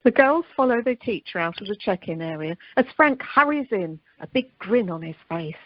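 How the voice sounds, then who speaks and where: A woman's voice: mid-range voice, lively intonation, normal speed, noisy background. A woman reading scripted stage directions as narrator of a radio play. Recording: dull, dry telephone conference line.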